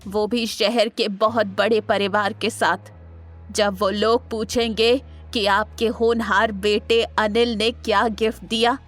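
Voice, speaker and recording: A woman's voice: mid-range voice, fairly bright tone. A woman playing an angry, domineering old grandmother in an audio drama, scolding and taunting in an imperious way. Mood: furious, imperious. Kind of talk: Hindi audio drama acting.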